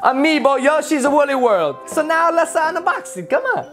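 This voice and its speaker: Excitable cartoon plumber, mock Italian accent, high pitch, broken grammar, playful scolding, light music underneath. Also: female voice, normal speed. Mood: excited, playful. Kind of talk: playful toy unboxing in character.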